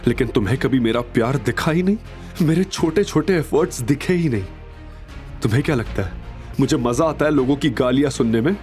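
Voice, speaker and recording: A man acts out an intense young husband in an audio drama, wounded but proud. He speaks with emphatic feeling and a widely moving, mid-pitched voice. Faint music plays along. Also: lively intonation, fairly quick, darkish tone, close to an everyday voice. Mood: hurt, defiant. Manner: emphatic, emotional, dramatic delivery. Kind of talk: Hindi audio drama acting.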